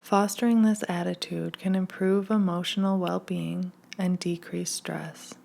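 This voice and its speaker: A woman leading a scripted guided meditation in a gentle, hushed, soothing manner, leaving long pauses. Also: lowish voice, steady tone, creaky.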